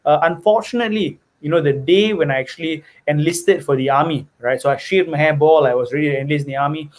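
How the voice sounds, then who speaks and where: Earnest man, fairly high voice. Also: fast. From Singapore. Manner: earnest, peppers talk with "right?" and "you know". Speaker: guest investor. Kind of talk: personal story in conversation.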